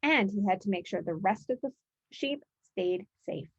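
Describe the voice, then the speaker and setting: Female children's author, mid-pitched voice, storybook read-aloud narration. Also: animated, moderate pace, husky, muffled recording. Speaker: children's author reading own book.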